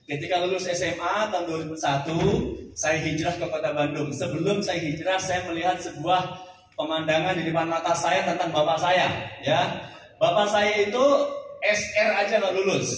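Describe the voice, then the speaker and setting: Fortyish guy from central java, high voice, joking seminar coach, lots of echo, sounds far from the mic. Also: a bit bright, a little hiss or hum. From Central Java, Indonesia. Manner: jokey, leads call-and-response prayers with the crowd. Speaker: seminar speaker, business coach. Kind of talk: motivational seminar speech. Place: large indoor hall. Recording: echoey hall recorded from far off.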